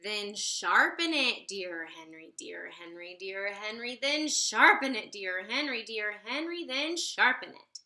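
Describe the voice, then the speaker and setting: A woman reading a children's picture book aloud in an expressive, theatrical sing-song way. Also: mid-range voice, measured pace, few pauses. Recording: roomy, echoey sound.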